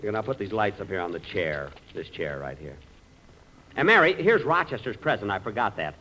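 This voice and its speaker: A man's voice: on the low side, sing-song, a little fast, pushed and bright. An American man playing a fussy, vain, easily exasperated middle-aged miser in 1940s radio comedy, with a hesitant, put-upon delivery. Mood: petty, self-assured. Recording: faintly noisy vintage radio recording.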